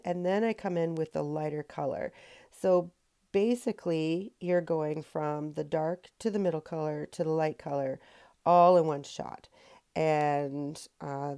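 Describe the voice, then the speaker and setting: A woman hosting a painting tutorial in a casual voice-over, her voice raspy from a recent cold, chatty with many ums and self-corrections. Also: deep voice, dark tone. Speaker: rock painting tutorial host.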